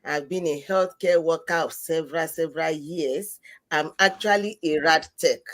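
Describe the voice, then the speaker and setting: A woman from Nigeria joining as the guest, introducing herself with excitement. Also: deep voice, normal speed.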